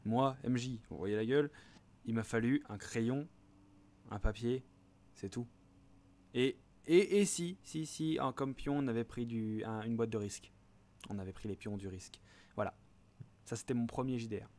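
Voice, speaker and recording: A man's voice: mid-range voice, moderate pace, pauses often, darkish tone. A young man co-hosting a casual chat, talking in a slangy, rambling way. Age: young adult. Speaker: co-host.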